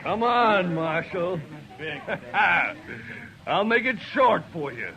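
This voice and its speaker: A man's voice: sits high, big pitch swings, drawn-out words, sharp tone, soft background music, exaggerated voice. A man acting a big, brutish western outlaw in a radio play, taunting and menacing as he draws out his threats. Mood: gloating menace. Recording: noisy old radio recording.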